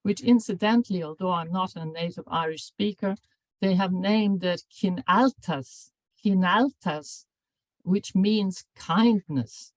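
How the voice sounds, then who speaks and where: An older woman, a professor, giving an academic seminar lecture in a measured, formal way, often correcting herself mid-sentence. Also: on the low side, no room sound, rough recording. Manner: measured, formal delivery with frequent self-corrections. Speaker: professor and anti-bullying researcher.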